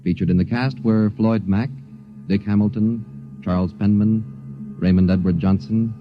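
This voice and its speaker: Deep-voiced 1950s radio announcer. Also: male voice, steady tone, faint music behind. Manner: measured, formal. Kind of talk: formal broadcast announcing. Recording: dry lo-fi 1950s radio transcription.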